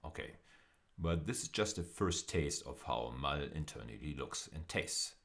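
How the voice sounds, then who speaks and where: Male university lecturer, low-pitched voice, deliberate explanatory delivery over slides, restating key phrases. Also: animated, a bit bright. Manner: deliberate, repeats and rephrases phrases for emphasis.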